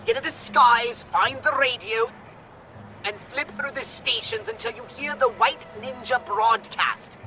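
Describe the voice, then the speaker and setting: A man's voice: very high voice, lively intonation, a little slow, creaky, pushed and bright, faint music behind, exaggerated voice, rough recording. An actor playing a fussy, imperious alien commander in a video game, prim and theatrical as he gives orders. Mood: officious, urgent. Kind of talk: video game cutscene acting.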